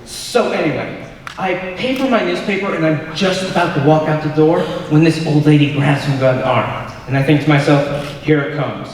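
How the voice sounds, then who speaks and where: A young man acting a proud, combative patriotic soldier in a high school stage play, with emphatic delivery. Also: normal speed, natural voice. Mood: hearty, boastful. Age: young adult. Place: large school auditorium stage. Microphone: far camera. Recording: distant, echoey auditorium with faint noise.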